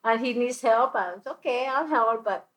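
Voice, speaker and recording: A woman's voice: on the high side, moderate pace, fairly bright tone. Laughing woman guest. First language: Spanish. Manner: laughs often, talks with restarts. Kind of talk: casual interview chat.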